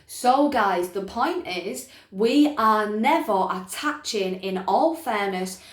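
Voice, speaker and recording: A woman's voice: mid-range voice, animated, takes it slowly. Woman explaining emphatically in an echoey room. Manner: emphatic, repeats points, often checks in with listeners. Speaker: spiritual teacher presenting a self-help video. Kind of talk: informal explanatory talk to camera. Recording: echoey, roomy sound.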